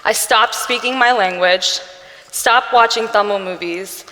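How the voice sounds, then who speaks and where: A young woman giving an earnest personal storytelling speech, measured, with pauses between phrases. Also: mid-range voice, bright, forceful tone. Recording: long reverb from a hall-like room.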